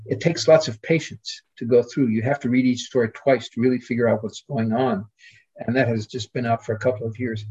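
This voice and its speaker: Husky male scholar on dull audio. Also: medium pitch, a little mellow. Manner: halting, searching for names and words. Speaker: podcast guest, literary scholar. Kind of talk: casual interview discussion.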